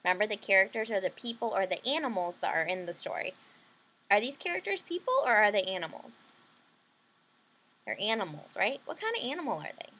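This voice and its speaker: A woman's voice: medium pitch, very animated, a little fast, a bit bright. A woman leading an interactive read-aloud lesson for children, explaining simply and often checking in with questions. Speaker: teacher reading a picture book to children.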